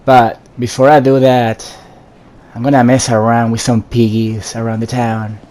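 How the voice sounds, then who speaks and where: A man giving casual, low-key commentary on his own video game playthrough, thinking aloud in fragments. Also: normal speed. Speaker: gamer narrating own playthrough. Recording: steady background noise behind voice.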